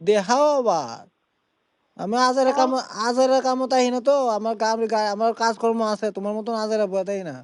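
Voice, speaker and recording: A man's voice: extremely high pitch, fairly quick, smooth, dry recording, clean studio sound. A young man chatting casually on a phone call, brusque and teasing, given to exclamations. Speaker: online video creator taking a call.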